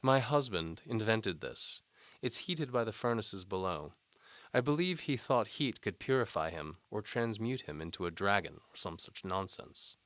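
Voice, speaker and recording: A man's voice: on the low side, animated, moderate pace, fairly bright tone, no room sound, pitched above the performer's own voice. A man voicing a seductive, haughty noblewoman in an audio drama, coy and imperious. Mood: coy, probing.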